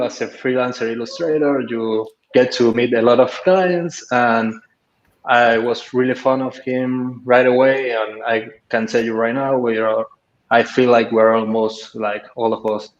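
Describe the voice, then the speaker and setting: Argentine man on a roomy, lo-fi mic. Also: mid-range voice. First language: Spanish. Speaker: guest, illustrator. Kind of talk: casual panel chat. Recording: roomy, dull lo-fi sound.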